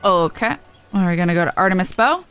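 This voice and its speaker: A woman's voice: fairly low pitch, animated, fast talker, a bit bright. A woman game streamer giving a casual walkthrough in a chatty, slangy, informal way. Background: game music.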